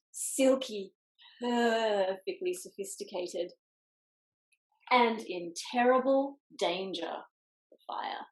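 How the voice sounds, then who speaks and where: Woman doing kids storytime reading, higher voice, very expressive, echoey room on a video call. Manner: expressive, dramatic pauses and emphasis on words. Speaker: picture book author reading aloud. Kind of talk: animated children's storytime reading with quiet asides. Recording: roomy echo on video call.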